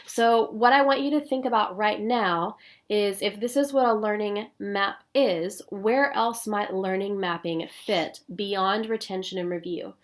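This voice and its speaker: A woman with a stuffy nose from a cold, giving a recorded professional development talk for teachers with clear, paced explanation and frequent "um"s. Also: mid-range voice, a little slow. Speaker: instructional coach.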